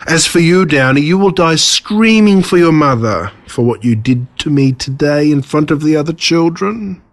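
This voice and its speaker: A man acts out a smug storybook villain who rules over ants. The voice is pitched high, well above an everyday male voice, and delivers threats with pompous, gloating drama. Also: expressive, moderate pace. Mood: menacing, bitter.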